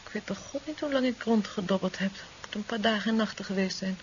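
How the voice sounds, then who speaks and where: An actress playing a tender, weary young woman recovering from an ordeal in a 1970s radio drama, affectionate and halting. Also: mid-range voice, lightly acted. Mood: weary, recounting. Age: young adult. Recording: dull, hissy 1970s radio tape.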